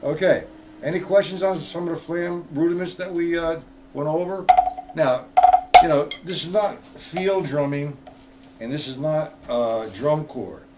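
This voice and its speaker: Relaxed man teaching drums live. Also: on the high side, unhurried, loud music behind the voice. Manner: loose, conversational, answers viewers in chat. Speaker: drum teacher. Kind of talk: live-streamed drum lesson.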